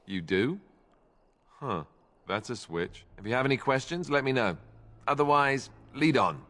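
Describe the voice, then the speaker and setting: A man's voice: mid-range voice, lively intonation, unhurried, fairly bright tone. An actor playing a young male warrior in a fantasy video game, earnest with a dry, self-deprecating wit. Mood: dry, wry.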